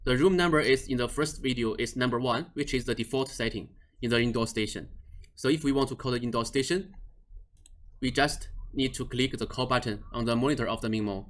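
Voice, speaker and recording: A man at an ordinary middle pitch walks through a software configuration step by step. He talks plainly and patiently, often restarting sentences and filling pauses with "uh". His phrasing is slightly non-native. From Australia or New Zealand region office. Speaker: product trainer. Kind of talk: screen-recorded software tutorial.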